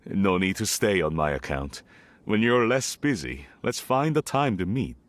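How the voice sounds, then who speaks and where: A man's voice: low voice, very animated, a little slow. A man playing a middle-aged tea merchant and father in a video game, speaking politely and formally. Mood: apologetic.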